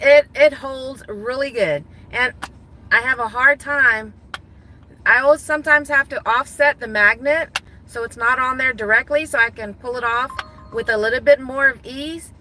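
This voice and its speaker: Bright-voiced chatty woman from Guam. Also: fairly high pitch, a little hiss or hum, rough recording. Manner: chatty, laughs easily, thinks out loud. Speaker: gig delivery driver vlogger. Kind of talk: casual talking to viewers while driving. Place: inside a parked car.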